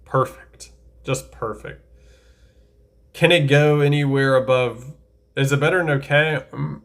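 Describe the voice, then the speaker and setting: A man's voice: medium pitch, steady tone, unhurried, a bit bright. Chatty man ranking anime duels. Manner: chatty, enthusiastic, thinks aloud with self-corrections. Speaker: anime tier-list creator. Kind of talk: casual tier-list commentary.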